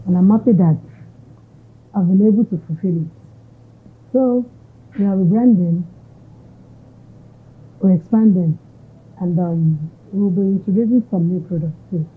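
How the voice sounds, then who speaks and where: Woman, Nigerian accent, husky voice, code-switches into Yoruba, tinny narrow sound. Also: mid-range voice, unhurried, pauses often. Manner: mixes Yoruba phrases into English. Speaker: entrepreneur, interviewee. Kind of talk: conversational interview answers. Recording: tinny narrow-band audio.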